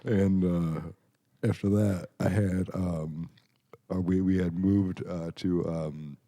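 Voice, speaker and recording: Young American male podcast guest, late twenties, deep relaxed voice, informal, joking, rambling chat. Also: slow. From Chicago area, United States. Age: late 20s. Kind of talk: casual chat.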